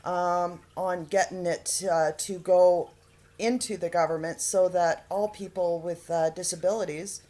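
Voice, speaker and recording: Halting earnest woman making an appeal. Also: lowish voice, steady tone, warm tone. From Alberta, Canada. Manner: halting, many fillers and pauses; earnest, pleading. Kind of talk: unscripted appeal to camera.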